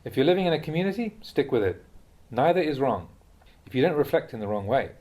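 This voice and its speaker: Man reading spiritual teachings, measured delivery. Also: mid-range voice, big pitch swings, a little mellow. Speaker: reader of translated Buddhist teachings. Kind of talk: audiobook-style reading of spiritual talks.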